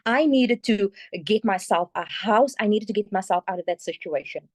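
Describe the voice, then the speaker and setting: A woman's voice: mid-range voice, normal speed, a little mellow, no echo, clean studio sound. A woman with a South African accent giving an earnest, emphatic motivational testimonial, often checking in with her listeners. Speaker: community member giving a testimonial.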